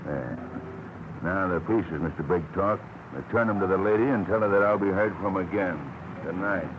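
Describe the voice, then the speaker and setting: Guy doing a low menacing fat villain, smug and mocking, hissy dull old radio sound. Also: natural voice. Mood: smug, threatening. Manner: slow, deliberate, mocking. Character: sinister fat man, smug and coolly menacing. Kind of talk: 1940s radio drama acting. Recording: muffled, noisy old radio broadcast.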